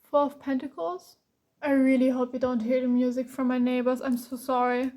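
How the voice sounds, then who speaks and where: A woman's voice: high voice, flat delivery, normal speed, dark tone. A woman with a German accent, German being her first language, giving a blunt, earnest pick-a-card tarot reading full of ums and restarts. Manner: blunt, earnest, calling the viewer out directly; frequent ums and restarts, repeats "to be honest".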